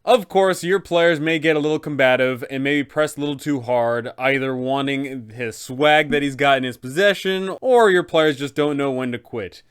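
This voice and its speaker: Smooth-voiced man giving game master advice. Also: mid-range voice, normal speed, few pauses. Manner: enthusiastic, addresses viewers directly. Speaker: game master guide host. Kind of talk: scripted narration for game masters.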